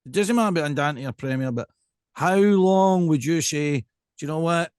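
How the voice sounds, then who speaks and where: A Scottish man with a fairly high-set voice hosts a casual podcast chat, recorded dry and close. He rambles emphatically through his questions and keeps checking that he is being followed. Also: normal speed, a little mellow, clean tone, dry recording. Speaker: podcast host.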